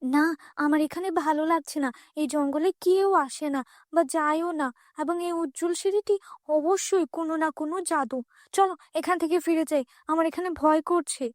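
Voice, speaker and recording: A high-voiced, wary elder sister acted by a woman in a fairy-tale cartoon. She is earnest and fearful, in a close, dry recording. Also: a little fast, dry recording, lightly acted. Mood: afraid. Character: cautious, fearful elder orphan sister, a poor girl.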